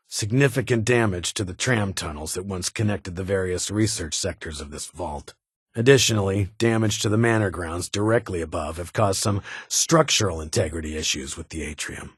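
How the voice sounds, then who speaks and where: A man playing a stern, guarded ghoul military officer in a video game, with a raspy voice and a clipped, formal delivery of dry authority. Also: low voice, no echo. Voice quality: raspy, gravelly. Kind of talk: video game character dialogue.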